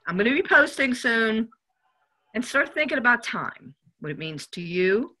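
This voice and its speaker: A woman's voice: a little high, sing-song, normal speed, pushed and bright. A woman hosting a show in a casual conversational style. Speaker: show host.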